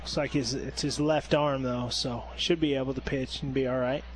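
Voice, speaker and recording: A man giving easygoing color commentary on a baseball radio broadcast, with a soft-spoken manner. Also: medium pitch, fairly even intonation, normal speed.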